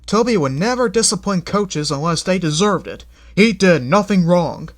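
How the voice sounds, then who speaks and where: A man's voice: sits high, expressive, normal speed, voice pushed up. A man doing a stern railway boss. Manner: authoritative, firm. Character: stern portly man who runs the railway.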